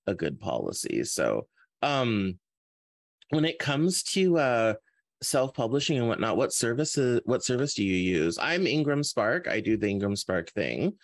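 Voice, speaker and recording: A man's voice: medium pitch, very animated, unhurried, dry recording, studio quality. A man chatting casually as a podcast interview host, often repeating and rephrasing his words as he feels out a thought. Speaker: interview host and fiction author.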